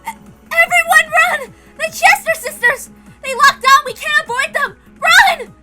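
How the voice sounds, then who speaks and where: A woman's voice: extremely high pitch, unhurried, music in the background, pitched above the performer's own voice. Woman acting shrieking, terrified fugitive. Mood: panicked. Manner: screaming, breathless repetition. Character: terrified character desperately warning companions to flee.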